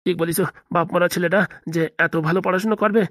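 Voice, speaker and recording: A woman voicing a hospitable, grumbling middle-aged landlord in a comedy cartoon, effusive and quick to complain. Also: fairly low pitch, steady tone, no room sound, studio quality, natural voice. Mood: admiring, grumbling.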